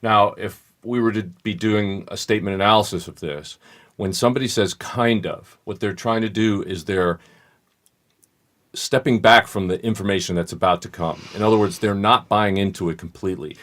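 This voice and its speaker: Very deep-voiced man explaining. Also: a bit bright. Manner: measured, explanatory, thinks aloud. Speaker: podcast co-host. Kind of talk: casual analytical discussion.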